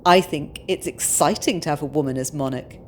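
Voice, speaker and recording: A woman's voice: deep voice, brisk, slight background noise, close to an everyday voice. A woman playing a young British expectant mother in an audio drama, polite, earnest and outspoken. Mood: eager, polite.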